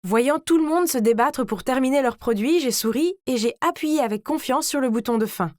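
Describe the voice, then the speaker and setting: A woman voicing a vain, scheming teenage girl who tells her own animated story in a smug, theatrical way. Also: high-pitched, normal speed, no echo, clean studio sound. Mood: boastful, excited. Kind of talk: dramatized first-person story narration.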